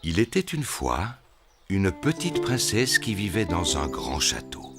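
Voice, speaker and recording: A man's voice: low voice, wildly swooping pitch, measured pace, loud music behind the voice, full character voice. A man voicing a good-natured, boastful daddy pig in a French children's cartoon, blustering and a little hesitant. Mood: jovial storytelling. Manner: blustering, hesitant when caught out.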